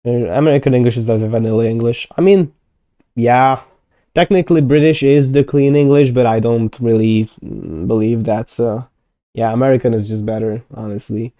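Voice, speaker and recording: A man's voice: medium pitch, moderate pace, warm tone, dry recording, dull audio. A young Hungarian man chatting casually on a live stream, thinking aloud with many fillers and self-corrections as he plans a constructed language. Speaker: conlang streamer.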